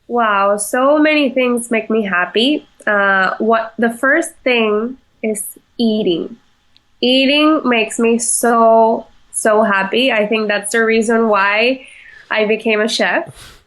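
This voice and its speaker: Slow, soft-spoken woman. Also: a little high, low-quality recording. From Venezuela. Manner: halting, many fillers and restarts, laughs easily. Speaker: chef, podcast guest. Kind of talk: casual interview answers.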